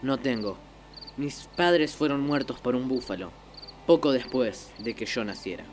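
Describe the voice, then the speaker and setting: A man's voice: mid-range voice, a little slow, slight background noise, close to an everyday voice. An actor playing a proud, earnest young boy hunter in a fantasy radio drama. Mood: quiet sorrow. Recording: radio play with faint music.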